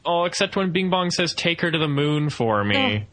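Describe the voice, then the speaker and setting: Man, bright voice, casual film chat, animated delivery, dramatic emphasis, joking. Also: on the high side, moderate pace. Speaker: podcast co-host.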